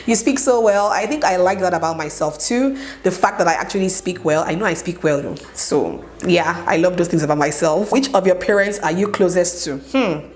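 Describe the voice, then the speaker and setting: Young nigerian woman vlogging from home, lowish voice, chatty, keeps saying okay. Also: normal speed, few pauses. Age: young adult. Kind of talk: get-to-know-me Q&A vlog.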